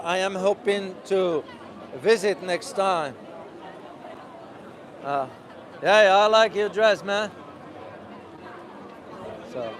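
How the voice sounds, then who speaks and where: A man vlogging as he walks a city street outdoors, talking loudly and passionately while answering viewers' questions. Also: on the high side. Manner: loud and passionate. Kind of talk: casual walking vlog answering live questions. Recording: crowded outdoor street noise. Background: street crowd.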